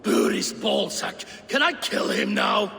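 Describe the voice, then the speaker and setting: A hot-headed Norse warrior god in a game, crude and eager for blood. The voice is extremely gravelly and forceful. Long reverb surrounds it. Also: male voice, sits high, big pitch swings, measured pace, exaggerated voice. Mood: impatient aggression. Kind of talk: video game cutscene acting. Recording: long reverb, music behind.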